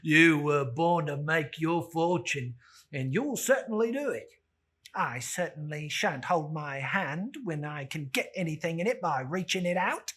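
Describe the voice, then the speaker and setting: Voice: mid-range voice, expressive, measured pace, fairly bright tone, breathy edge. A performer voicing a coarse, greedy, gloating charwoman looter with brazen, scornful working-class speech in a dramatic Victorian storybook reading. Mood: brisk, brazen.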